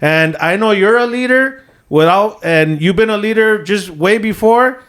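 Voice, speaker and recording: A man in his thirties hosting a podcast, energetic and affirming, dropping Spanish phrases into his English. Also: sits high, unhurried. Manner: hyped, affirming, mixes in Spanish. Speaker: podcast host. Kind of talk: casual podcast interview.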